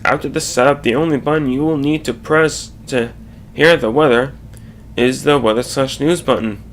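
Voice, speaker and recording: A man narrating a scripted slide presentation in a halting way, often stumbling and correcting himself. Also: mid-range voice, a little hiss or hum.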